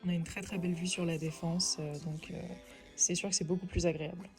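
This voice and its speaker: A woman's voice: fairly low pitch, flat delivery, fast, a little mellow, soft background music. A woman in her twenties vlogging her week as a finance student, chatting casually with many fillers. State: stuffy with a cold. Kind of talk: casual vlog narration.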